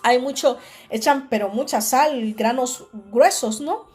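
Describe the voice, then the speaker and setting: Honduran woman, warm-toned preacher style, informal with lots of "right?" asides; light music bed. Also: sits high, unhurried. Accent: Honduran Spanish. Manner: conversational, many restarts and tag questions. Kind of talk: informal devotional talk.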